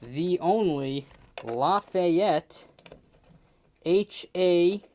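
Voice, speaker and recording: A man giving a casual, off-the-cuff demonstration as a YouTuber, talking haltingly in short phrases. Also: sits high, animated, dark tone, highs cut off. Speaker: YouTuber demonstrating a vintage CB radio.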